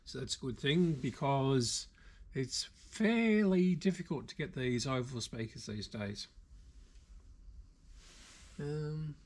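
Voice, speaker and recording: An Australian man talks through a repair job in a casual, unscripted way. His pace is slow and halting, as if working things out while he speaks. The pitch sits in an ordinary middle range for a man. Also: expressive. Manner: unscripted, halting, thinking aloud. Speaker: video host, radio repairer. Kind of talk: casual hands-on repair commentary. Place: indoor workshop.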